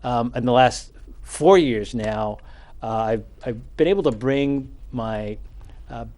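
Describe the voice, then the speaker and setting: A middle-aged man from South Carolina, a guest on a talk show, explaining things in a measured way with frequent fillers. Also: mid-range voice, darkish tone. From Charleston, South Carolina. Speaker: guest, NAACP chapter president. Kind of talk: casual talk-show interview.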